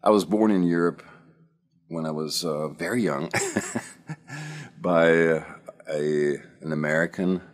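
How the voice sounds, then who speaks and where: A man's voice: deep voice, lively intonation, slow, pauses often. A man in his fifties with a slight German accent, telling his life story in an earnest, reflective monologue as a self-help speaker. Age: 50s. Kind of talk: personal monologue to camera.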